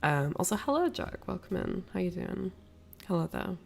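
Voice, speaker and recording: A woman's voice: on the low side, big pitch swings, moderate pace, vocal fry, a little mellow. A woman hosting a casual livestream, rambling and apologetic, sniffly with a cold as she chats to viewers. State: ill with a cold, sniffly, coughing. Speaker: livestream host.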